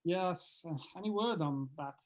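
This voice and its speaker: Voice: mid-range voice, a little slow, lightly acted. A performer playing a foul-mouthed, jaded male rock band member at an informal script table read, blunt and sardonic. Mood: dry, sardonic. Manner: blunt, sweary. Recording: clear background noise.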